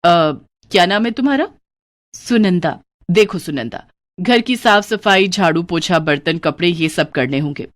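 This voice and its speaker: A woman's voice: fairly low pitch, expressive. Cartoon employer: bossy, well-off, snappy orders, a woman voices her. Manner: commanding, brisk.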